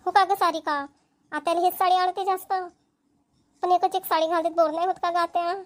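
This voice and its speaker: Woman playing a very high-voiced village girl, Vidarbha Marathi. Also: fairly even intonation, a little fast. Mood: chatty, teasing. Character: chatty, fussy young village girl. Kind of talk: cartoon comedy dialogue.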